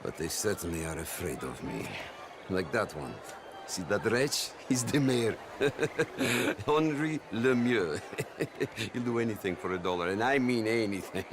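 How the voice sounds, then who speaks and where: A man's voice: on the low side, a little slow, background noise. A man playing a pompous, sardonic crime boss with an Italian accent in a game drama. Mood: contemptuous, amused. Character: haughty, sneering Italian male crime boss. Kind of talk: dramatic video game acting.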